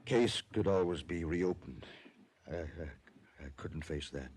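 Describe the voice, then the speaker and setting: Deep slow husky wounded guy, remorseful, halting, old radio drama. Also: a little mellow. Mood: weak, remorseful. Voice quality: husky, weak. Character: weary, wounded, remorseful crooked businessman. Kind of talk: 1950s radio drama acting. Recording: old-time radio recording.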